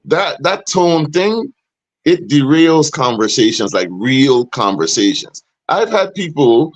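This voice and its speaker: A middle-aged man with a deep, booming voice hosts a casual podcast. He speaks emphatically and gets animated when he pushes back with pointed questions. The sound is dry and close. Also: no room sound. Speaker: podcast host.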